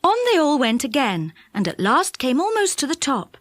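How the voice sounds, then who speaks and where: A woman narrating a children's audiobook in an expressive storytelling style. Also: high voice, normal speed, dry recording, clean studio sound.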